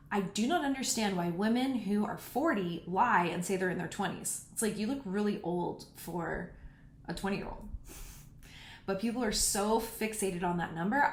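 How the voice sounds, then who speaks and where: A woman in her thirties hosting a podcast, talking frankly and casually as she answers listener questions. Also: lowish voice. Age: 30s. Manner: frank and candid, speaks bluntly about personal topics. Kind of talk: casual solo podcast talk. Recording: roomy echo.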